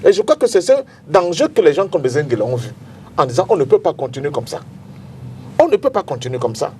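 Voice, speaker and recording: A man's voice: on the high side, very animated, quick pace, warm tone, slight background noise. A Cameroonian-accented man debating politics as a panel guest, speaking emphatically and repeating phrases for effect.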